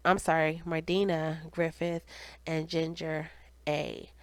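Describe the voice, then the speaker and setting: A woman's voice: fairly low pitch, no room sound. A woman with a nasal, congested voice giving a chatty, casual TV recap straight to her viewers. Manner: chatty, conversational, addressing viewers directly. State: congested with cold or allergies. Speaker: video reviewer and channel host.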